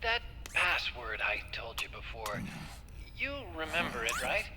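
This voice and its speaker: A man's voice: medium pitch, sing-song, unhurried, background noise. A man playing a friendly, chatty tech-expert sidekick in a video game, with an American accent and a lightly teasing, helpful way of talking. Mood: casual, focused.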